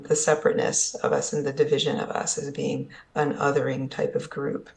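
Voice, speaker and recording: Gravelly-voiced conference presenter. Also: mid-range voice. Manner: earnest, explanatory, often ends points with "right?". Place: summit session at an events complex.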